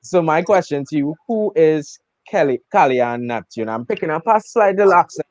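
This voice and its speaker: A man's voice: on the high side, lively intonation, normal speed, dry recording. A young man interviewing a guest in a casual chat, friendly and enthusiastic.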